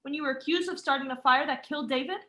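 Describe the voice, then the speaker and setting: A woman's voice: high voice, very fast, bright, forceful tone. A student actress playing a frightened, suspicious young woman in an urgent, melodramatic vintage radio mystery style. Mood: urgent, accusing. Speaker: student actor.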